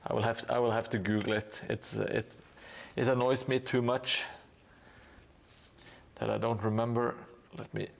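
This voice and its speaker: A man's voice: mid-range voice, level tone, normal speed, vocal fry. A man streaming live while he paints a tabletop gaming board, talking casually with many fillers and restarts and stopping to thank his viewers.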